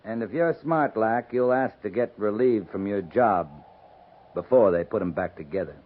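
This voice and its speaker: An actor playing a shrewd, stern frontier cavalry captain in a 1950s radio western, speaking in blunt, clipped commands. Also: male voice, on the low side, moderate pace, close to an everyday voice. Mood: grim, knowing. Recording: muffled, faintly noisy 1950s radio recording.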